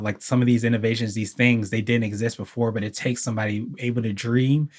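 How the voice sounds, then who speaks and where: A man hosting an interview who keeps affirming his guest and restating the guest's points at length. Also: mid-range voice. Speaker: podcast host. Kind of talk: conversational interview.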